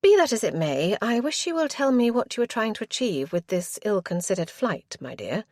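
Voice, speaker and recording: A woman voicing a brisk, tart married lady of the Regency era who speaks with crisp formality. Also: medium pitch, big pitch swings, a little mellow, dry recording, studio quality, pitched above the performer's own voice.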